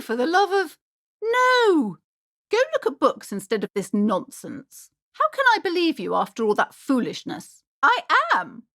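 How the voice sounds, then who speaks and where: A high, swooping voice for a prim middle-aged bookshop owner. She is a strict, superstitious mother with a haughty, easily offended manner. Her delivery is theatrical video game acting, full of emphatic turns. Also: fairly bright tone, full character voice. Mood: alarmed, outraged, then proud salesmanship.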